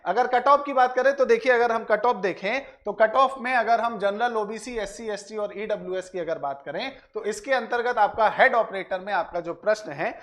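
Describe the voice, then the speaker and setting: A man's voice: sits high, normal speed, hardly any pauses, a bit bright. A man presents exam analysis like a coach, addressing viewers directly with frequent filler phrases and check tags. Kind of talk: explanatory exam analysis for students.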